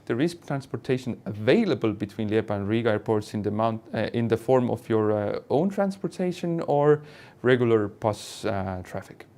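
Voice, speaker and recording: A man speaking as an airline pilot and instructor, answering questions in an online Q&A in a hesitant, measured way. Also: on the low side, expressive, warm tone.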